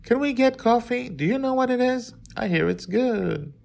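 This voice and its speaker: A melodramatic young princess, played by a male narrator, pitched well above his natural voice. She sounds entitled and theatrical, like a character in a children's fantasy storybook. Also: animated, moderate pace, gravelly, a little mellow, full character voice. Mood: eager. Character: young princess, whiny, breathy-dramatic, coffee-craving.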